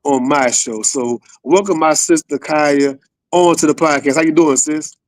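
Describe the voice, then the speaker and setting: A man's voice: fairly high pitch, a little slow, no echo. A man hosting a podcast in a casual, slangy interview style with familiar, hype-man phrasing. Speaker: podcast host.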